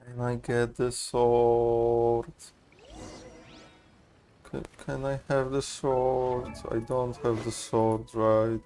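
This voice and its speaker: A man's voice: medium pitch, level tone, extremely slow, mellow. A man doing casual gaming commentary, slangy and musing aloud. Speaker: gaming streamer. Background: game music.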